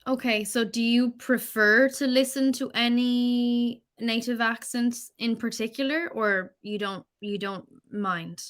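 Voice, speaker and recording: A woman's voice: on the high side, fairly even intonation, measured pace, few pauses, a bit bright. A woman from Ireland, tutoring English in a patient, encouraging way and asking follow-up questions. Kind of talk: online tutoring conversation.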